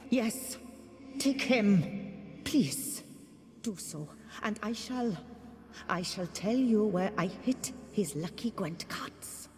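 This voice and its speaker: An actress playing the pious ghost of a nagging noble wife, speaking in a sanctimonious, reproachful way. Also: female voice, medium pitch, lively intonation, takes it slowly, a little mellow. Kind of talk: video game voice acting. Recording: game audio with reverb and music.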